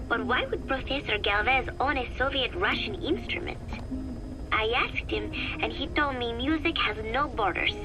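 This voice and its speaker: A woman's voice: a little high, close to an everyday voice, low-quality recording. A woman voicing an earnest, innocent teenage girl in a video game, reading her diary with careful, girlish, musing delivery. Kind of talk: in-character audio diary narration.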